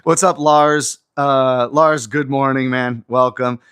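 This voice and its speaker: A man in his forties talks casually to his viewers on a live stream while stretching in his garage gym. The sound is dry and close. His pitch sits at an average level, and he speaks conversationally and reads out comments as he goes. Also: steady tone, slow pace, a bit bright, clear, resonant tone, no echo. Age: 40s. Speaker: livestream host. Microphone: phone camera on an auto-tracking mount.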